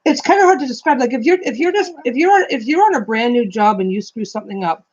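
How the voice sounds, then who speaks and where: Chatty woman on a lo-fi live stream, loud carrying voice, higher pitch, rambles and swears. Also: animated, quick pace. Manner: rambling, restarts often, swears casually. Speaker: co-host. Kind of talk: casual live-stream chat.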